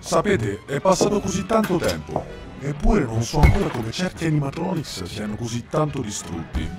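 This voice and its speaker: A man's voice: a little high, very animated, normal speed, music in the background. A man voicing a bewildered, weary animatronic in an amateur cartoon fan dub. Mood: weary, puzzled.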